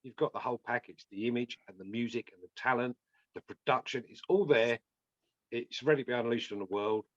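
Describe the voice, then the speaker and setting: A man's voice: mid-range voice, brisk, dry recording. A man giving an enthusiastic and encouraging review in a casual chat. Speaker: guest reviewer. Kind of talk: casual video call chat. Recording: dull lo-fi mic.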